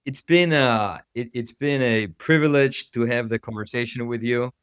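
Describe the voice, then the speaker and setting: A man's voice: mid-range voice, moderate pace, fairly bright tone, clean tone, no room sound, studio quality. A man from Ecuador hosting a casual interview podcast, restarting phrases as he talks. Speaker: podcast host.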